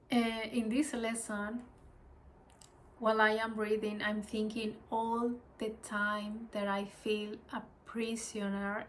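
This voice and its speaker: A woman whose first language is Spanish, with a Spanish accent, reading spiritual lessons slowly and hesitantly, adding her own reflections. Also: mid-range voice, a little hiss or hum. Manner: hesitant, apologetic, with small laughs and restarts.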